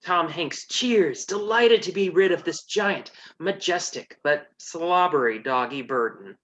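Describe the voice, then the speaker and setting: A woman's voice: fairly low pitch, a little slow, a bit bright. A woman narrating a scripted comedy with wry sass. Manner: wry, sassy.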